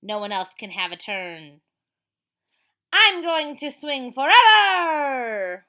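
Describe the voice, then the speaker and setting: A mean little playground bully girl from a children's comic. The voice swoops high and loud, stretching words into bratty, gloating whines. It is bright and piercing. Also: very animated, full character voice. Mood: gloating, possessive. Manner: gloating, drawn-out whining shouts.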